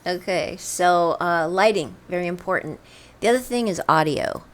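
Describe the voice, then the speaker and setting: A woman with a soft, slightly breathy voice, co-hosting and prompting questions in casual chat. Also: fairly low pitch, normal speed. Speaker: co-host.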